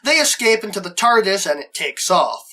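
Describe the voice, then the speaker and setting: A man's voice: sits high, sing-song, normal speed, very noisy. A man hosting a review show, narrating a scripted plot recap.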